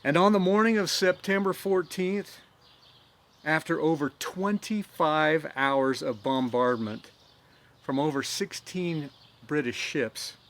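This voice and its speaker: Slow earnest male storyteller outdoors. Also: on the high side. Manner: earnest, slow, grows animated in dramatic parts. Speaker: storyteller host. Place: outdoors in nature.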